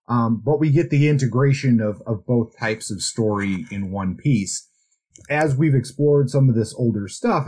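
A man co-hosting a podcast, talking through a casual book discussion in a conversational, measured way. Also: fairly low pitch, darkish tone. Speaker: podcast co-host.

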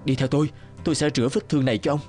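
A man playing a kind, hospitable poor villager in a cartoon, speaking gently and reassuringly. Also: on the high side, racing, faint music behind, lightly acted. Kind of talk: cartoon dubbing.

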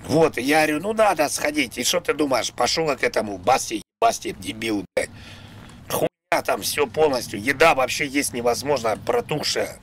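A man's voice: medium pitch, animated, normal speed, a bit bright, slight background noise. A man livestreaming in a coarse, mocking and belligerent way, ranting with constant swearing. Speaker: livestreamer making prank calls.

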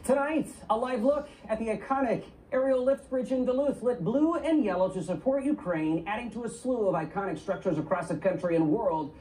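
A man's voice: high-pitched, mellow, distant mic, rough recording. Male TV reporter, heard from a TV. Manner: measured broadcast delivery. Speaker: news reporter. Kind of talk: news report. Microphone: broadcast mic, replayed from a TV set. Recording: TV audio recorded off a speaker.

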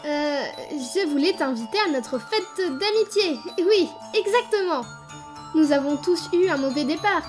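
A woman voicing a gentle, hopeful young boy in a comic dub, speaking earnestly and pleadingly. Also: high voice, animated, a little slow, faint music behind. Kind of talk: animated comic dub acting.

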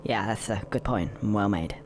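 A man's voice: on the low side, normal speed, background noise, close to an everyday voice. A man voicing a naive, bemused young male squirrel in a video game, hesitant and polite with a touch of cheek. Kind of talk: video game cutscene dialogue.

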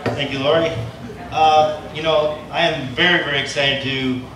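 A man's voice: on the high side, steady tone, moderate pace, a bit bright. A man introducing the coaches to an audience, rambling with fillers and self-deprecating asides. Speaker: event presenter introducing coaches. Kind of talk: speech to an audience. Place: large event tent, from far off. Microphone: PA system, recorded by a phone in the audience. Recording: echoey tent, noisy, distant.

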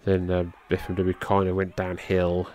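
A man's voice: moderate pace, a little hiss or hum. A middle-aged man, a podcast host giving loose, rambling play-by-play of a match, who swears casually and lifts his voice at the big moves. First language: English. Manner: loose, rambling, swears casually, gets excited at high spots.

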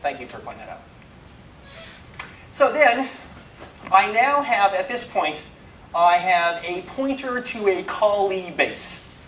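Higher-voiced man lecturing in a roomy space. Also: slow, pauses often. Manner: animated, self-deprecating, wry humour. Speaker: speaker giving a technical programming talk. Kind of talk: informal live lecture to a programmer audience. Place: indoor talk room. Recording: roomy, distant mic, some background noise.

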